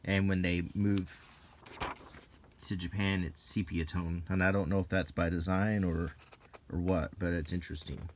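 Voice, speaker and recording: A man giving unscripted, ASMR-style commentary as he browses, in a musing, halting way with pauses. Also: low voice, flat delivery, slight background noise. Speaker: video host reviewing an old magazine.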